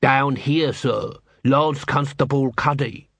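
A man's voice: medium pitch, slow, a bit bright, husky, no room sound, exaggerated voice. A man voicing a small, humble male lance constable who is plain-spoken and deferential, in an audiobook.

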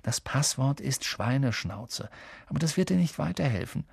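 A man voicing a tense, commanding schoolboy in a storybook reading, hushed, hissing and terse. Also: mid-range voice, animated, normal speed, vocal fry, slightly rough, no echo, studio quality. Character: tense, commanding schoolboy leading a night sneak.

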